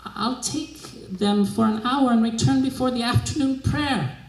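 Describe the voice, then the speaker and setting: A man's voice: very high-pitched, unhurried, gravelly, voice pushed up, exaggerated voice. A man voicing a deferential village servant woman who pleads and coaxes, in a literary reading. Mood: coaxing. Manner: pleading, coaxing. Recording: echoey room.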